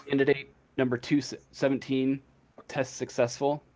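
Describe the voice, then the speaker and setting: A man improvising narration as game master of a tabletop role-playing game, halting as he thinks aloud. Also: mid-range voice, level tone, a little mellow, slight background noise.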